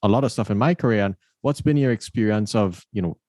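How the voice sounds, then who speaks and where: A man's voice: on the low side, fast talker, a little mellow, no room sound, studio quality. A man hosting a podcast interview, telling stories in a rambling, chatty way. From Trinidad and Tobago. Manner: storytelling, rambling with restarts. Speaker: podcast host. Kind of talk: casual interview chat.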